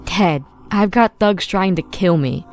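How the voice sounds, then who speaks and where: A determined, wry man on the run, acted out by a woman in a film drama dub. The voice sits on the low side, with music playing underneath. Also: unhurried. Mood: urgent, determined.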